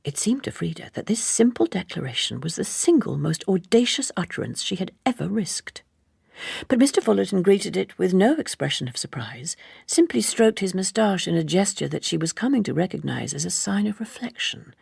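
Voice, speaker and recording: A woman narrates a literary novel in a low register. Her voice is heavily creaky and husky, and she tells the story in a measured, expressive way, recorded very dry and close. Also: no room sound. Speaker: audiobook narrator.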